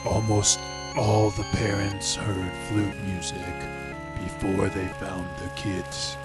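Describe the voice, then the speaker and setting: Brooding cop, radio serial; low, slow, terse, world-weary, music loud behind him. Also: male voice. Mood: entranced. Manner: hesitant, terse. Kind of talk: old-time radio drama acting. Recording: loud music bed under the voice.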